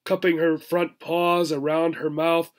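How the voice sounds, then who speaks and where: Male teacher-reader, slightly high pitch, narrating a children's story expressively. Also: little pitch movement, a little slow. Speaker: teacher reading a children's book aloud. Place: small furnished room indoors.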